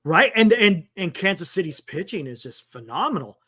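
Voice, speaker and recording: High-pitched, bright-voiced American man talking sports. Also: very animated, moderate pace, breathy edge, dry recording, clean studio sound. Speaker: sports talk show co-host. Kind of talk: casual sports talk.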